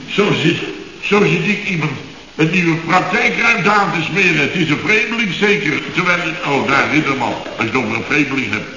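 Radio comedy boss: excitable contractor, bright forceful voice, blustering and indignant, on a hissy echoey old recording. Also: male voice, fairly high pitch, lo-fi sound. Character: flustered, excitable male building contractor boss recounting his mishaps. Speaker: construction firm boss. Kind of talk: radio comedy serial acting.

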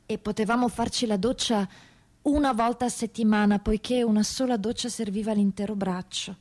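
A woman performing a dramatic radio theatre monologue as a testimony, with measured, grave and deliberate phrasing. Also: mid-range voice, fairly even intonation, darkish tone. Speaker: actress reading prisoners' testimonies.

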